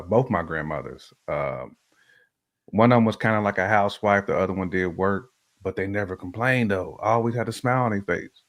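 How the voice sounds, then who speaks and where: Guy with a lowish voice just chatting, real slangy and colloquial, co-host type. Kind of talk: casual chat.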